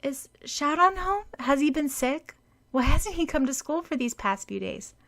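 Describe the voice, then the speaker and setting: A woman's voice: high voice, big pitch swings, moderate pace, fairly bright tone, voice pushed up, full character voice. A woman voicing a sweet, earnest schoolgirl who speaks eagerly.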